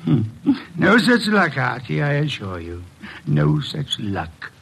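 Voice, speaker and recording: An actor playing a shrewd, imperious gentleman detective, formal and deliberate, in an old-time radio drama. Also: mid-range voice, huge pitch swings, vocal fry, very noisy, full character voice. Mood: wry, commanding.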